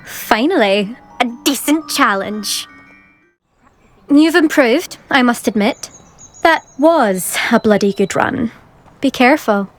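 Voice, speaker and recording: A high-pitched British teenage girl from a wizard-school game, cocky and competitive. She talks brusquely and dismissively, with music playing softly underneath. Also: expressive, slow pace, long pauses. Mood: grudging approval. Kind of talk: video game character dialogue.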